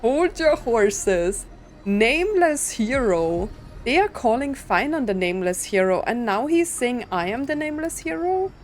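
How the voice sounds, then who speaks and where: A woman's voice: on the high side, expressive, a little slow, a little hiss or hum. A woman streaming a video game with casual playthrough commentary, sometimes reading on-screen lines aloud.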